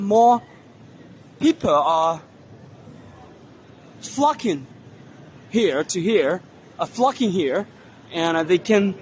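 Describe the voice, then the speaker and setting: A hoarse man walking through an indoor trade fair hall, giving casual commentary on the stands with many fillers and pauses. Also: high-pitched, a little hiss or hum. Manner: unhurried, halting, many fillers. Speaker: vlogger touring a trade fair.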